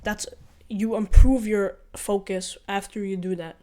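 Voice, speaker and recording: A man's voice: high voice, steady tone, unhurried, darkish tone. Earnest young man, Ukrainian-Norwegian accent. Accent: mixed Ukrainian and Norwegian. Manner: earnest, rambling, self-correcting, repeats himself. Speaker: vlogger. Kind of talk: casual advice vlog.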